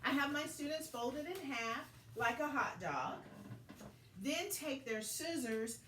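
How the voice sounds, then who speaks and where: A woman teacher giving an expressive read-aloud lesson for young children, with deliberate, dramatic phrasing. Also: sits high, slight background noise.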